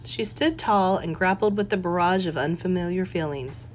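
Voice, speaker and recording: A woman's voice: on the low side, normal speed, slight background noise. A woman reading a cozy mystery novel aloud as scripted audiobook narration. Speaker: audiobook reader.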